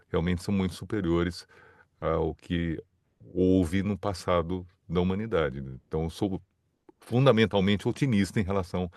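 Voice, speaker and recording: A man from São Paulo with a fairly low voice, recorded dry and close. He explains his points thoughtfully, restarting words now and then and laughing lightly. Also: darkish tone, no echo. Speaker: guest, communication scholar. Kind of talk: interview answers.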